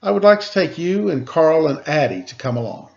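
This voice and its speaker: Man voicing a calm giant panda uncle. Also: on the high side, a little fast, vocal fry, highs cut off, voice pushed up. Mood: calm, kindly.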